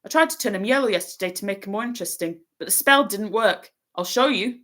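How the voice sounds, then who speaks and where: A woman's voice: medium pitch, quick pace, fairly bright tone, voice pushed up, close to an everyday voice. A woman voicing a blunt, cheeky young schoolboy who talks offhandedly. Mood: offhand.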